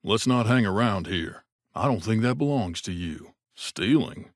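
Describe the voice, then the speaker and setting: An actor playing a wry, gruff mercenary companion in a video game, speaking in a conversational, grumbling way. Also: male voice, on the low side, animated, measured pace, no room sound, studio quality. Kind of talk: game character voice lines.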